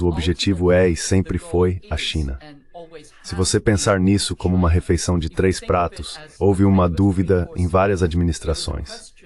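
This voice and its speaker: A man's voice: low voice, darkish tone. A man giving political commentary as a panel guest, explaining things step by step.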